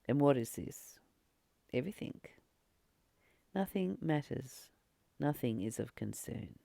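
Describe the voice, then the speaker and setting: A woman teaches from a spiritual text in a low voice with a lively, swinging melody. She reads passages aloud and reflects on them deliberately, pausing and repeating phrases for emphasis. The recording is close and dry, with no room sound. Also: gravelly, dry recording. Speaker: spiritual teacher and channel host. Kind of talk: spiritual book study, reading aloud with reflective commentary.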